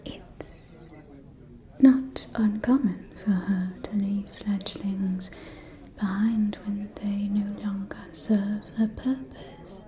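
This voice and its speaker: Woman playing a slow, whispering, scheming vampire lady. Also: medium pitch, little pitch movement, close to an everyday voice. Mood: seductive, manipulative. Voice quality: soft, breathy whisper. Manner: slow, silky, insinuating, condescending. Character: scheming, falsely caring aristocratic vampire woman. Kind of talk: whispered ASMR roleplay.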